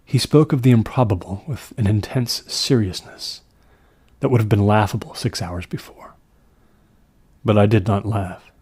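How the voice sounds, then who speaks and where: A man narrates a classic horror tale in a fairly low register. His delivery is measured and deliberate, with a slightly husky grain. The recording is dry and close. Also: a little mellow, dry recording. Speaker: audiobook narrator.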